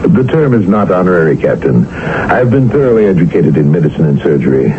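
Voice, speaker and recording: A grave, courteous doctor of the spirit world, speaks in a deep, formal, measured voice with lively rises and falls. He sounds like an old radio broadcast, thin and phone-like, with music running underneath. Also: noisy background. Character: learned male doctor of the occult, calm and measured. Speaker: narrator and lead character. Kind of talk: 1970s radio drama acting.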